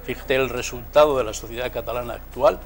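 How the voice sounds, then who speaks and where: A man from León arguing forcefully as a panelist in a televised political debate. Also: medium pitch, steady tone, quick pace. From León, Spain. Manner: forceful, polemical.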